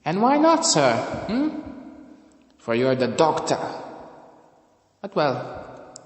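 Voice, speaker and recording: Guy putting on a smug polite bad guy, taunting questions, kinda high, big reverb. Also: huge pitch swings, takes it slowly, heavily acted. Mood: mocking, smug. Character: meddlesome male villain, mocking and smugly polite. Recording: echoey room with long reverb.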